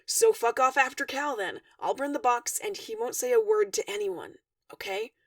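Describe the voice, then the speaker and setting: A snappy teenage boy acted by a woman, awkward and defensive, in a storybook reading. The delivery is slangy and blunt, with lively pitch and a creaky edge. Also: normal speed, no echo, clean studio sound, far from the mic, exaggerated voice. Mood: urgent, snappy.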